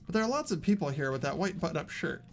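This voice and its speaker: A playthrough host reading a video game's narration aloud in an expressive storytelling way. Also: mid-range voice, racing, vocal fry. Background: faint music.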